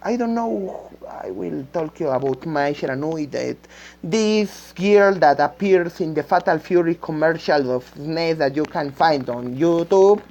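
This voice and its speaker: A woman with a Spanish-speaking accent rambles informally in a lowish voice. She takes her time, stopping to hunt for words and doubling back on phrases. The recording is dull and lo-fi. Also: darkish tone, slight background noise. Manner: halting, searching for words, repeating phrases. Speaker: amateur game creator. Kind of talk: unscripted rambling vlog talk. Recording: dull lo-fi microphone sound.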